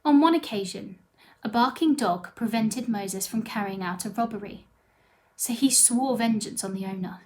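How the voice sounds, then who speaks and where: A woman with a slightly high voice narrates from a script. She delivers it as a factual life story, in full written sentences. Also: moderate pace, a little mellow.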